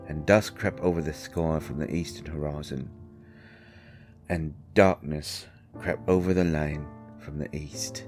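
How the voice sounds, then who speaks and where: Gruff folksy male character, acted by a man, bass-low and slow, stammering, for intimate roleplay audio drama. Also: a little mellow. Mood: solemn reading. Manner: halting, stammering, folksy colloquial speech. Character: gruff, folksy, flustered man comforting a vampire guest.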